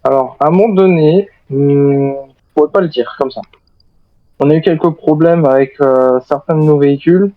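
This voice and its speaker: A man's voice: a little high, no room sound, close to an everyday voice. Hesitant stranded soldier, acted by a man, dull audio. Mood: hesitant, regretful. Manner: hesitant, halting, repeats words. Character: stranded American soldier, lone survivor, apologetic and faltering. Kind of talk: improvised game roleplay over radio.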